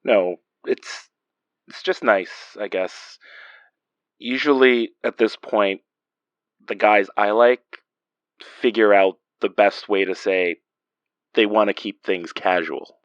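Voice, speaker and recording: An actor playing a guarded, joking young man with a soft heart in an audio drama romance, teasing and hesitant. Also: lowish voice, slow, pauses often, dry recording, dull audio. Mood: guarded, tender. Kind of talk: naturalistic audio drama acting.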